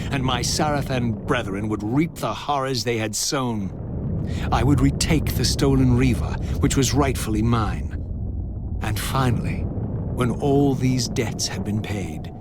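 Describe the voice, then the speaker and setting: An actor playing a bitter, vengeful undead wraith in a video game, speaking in grand, formal, carefully enunciated monologue. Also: male voice, fairly low pitch, heavy background noise, close to an everyday voice. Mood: vengeful, resolute. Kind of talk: dramatic scripted game narration and monologue. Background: loud dramatic game music.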